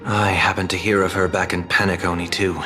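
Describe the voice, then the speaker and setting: A courteous, inquisitive gentleman from a video game, voiced by a man. His voice is low, and he speaks with formal composure over clearly audible music. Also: flat delivery, a little fast, natural voice. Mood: polite, curious. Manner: polite, formal. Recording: music under the voice.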